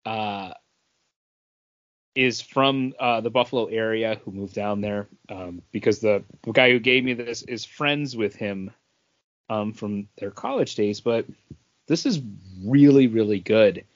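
A man hosting a podcast, chatting casually and haltingly, often repeating himself. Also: fairly low pitch, dry recording, muffled recording. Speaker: podcast host. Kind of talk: casual chat.

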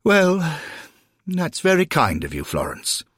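Man playing a bewildered, high-voiced Victorian gentleman. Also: wildly swooping pitch, slow pace, husky, no echo, studio quality, exaggerated voice. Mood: polite, hesitant. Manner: hesitant, courteous, apologetic. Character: polite, bewildered Victorian gentleman, newly dead.

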